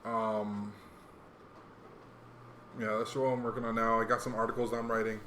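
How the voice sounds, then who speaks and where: A man's voice: on the low side, flat delivery, normal speed, sharp tone. An American man from Hawaii chatting casually as a podcast co-host, rambling along with plenty of slang and swearing. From Hawaii, United States. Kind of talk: casual chat. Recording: roomy echo, faint background noise.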